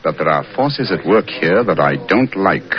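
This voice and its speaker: A shrewd gentleman detective in an old radio mystery. He speaks deliberately and with authority, his pitch swinging expressively. The sound is muffled and lo-fi. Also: expressive. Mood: brooding, alert. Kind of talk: 1940s radio acting. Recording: muffled lo-fi 1940s radio recording.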